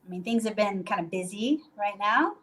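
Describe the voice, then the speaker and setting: A woman from Puerto Rico chats over a video call from a bedroom in a guesthouse. She talks loosely, stopping and restarting with lots of fillers, in a voice of middle pitch. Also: expressive, moderate pace, a bit bright. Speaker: guest, hostel owner. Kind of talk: casual video call interview.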